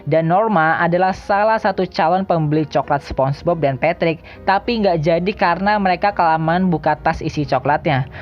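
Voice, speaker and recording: A woman's voice: fairly low pitch, normal speed, highs cut off. A woman narrates a countdown video in a casual, slangy, jokey way. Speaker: video host. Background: background music.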